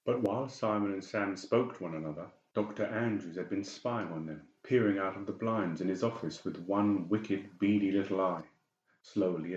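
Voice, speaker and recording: Low-pitched male horror audiobook narrator. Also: a little mellow, echoey room, dull audio. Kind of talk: dramatic scripted horror narration.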